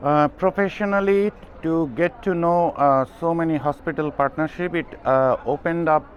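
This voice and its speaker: A man with a Bangladeshi accent giving halting interview answers, searching for his words. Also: fairly high pitch, fairly even intonation. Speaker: internal medicine doctor. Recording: muffled sound with background noise.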